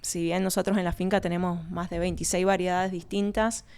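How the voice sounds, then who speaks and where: Clear-voiced Argentine woman in an interview. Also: lowish voice, level tone, a little fast, a little mellow. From Argentina, born in Colombia. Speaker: winery research and communication manager, interview guest. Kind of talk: conversational interview answers.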